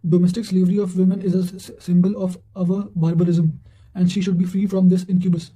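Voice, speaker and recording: A man's voice: sits high, level tone, fast talker, dark tone, rough recording. A man reading quotations and study notes aloud in a formal manner, occasionally stumbling over words.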